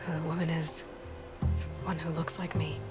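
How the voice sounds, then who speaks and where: A woman's voice: deep voice, little pitch movement, moderate pace, natural voice. A woman playing a determined, dry-witted young outcast huntress in a video game. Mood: determined, musing. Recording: loud game music behind. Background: music.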